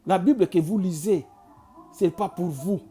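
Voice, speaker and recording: Congolese-accented channel host, earnest sermon-like talk, warm dark timbre, soft music bed. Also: medium pitch, a little slow, husky. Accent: Congolese French. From Kinshasa, Congo. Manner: preachy and urging, with frequent restarts and stammers. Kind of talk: impassioned address mixing Lingala and French.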